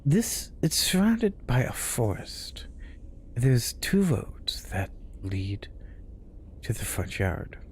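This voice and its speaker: A man hosting a spooky story show, reading tales aloud in a hushed voice that often drops to a whisper. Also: medium pitch, sing-song, takes it slowly, a little hiss or hum. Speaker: storyteller host.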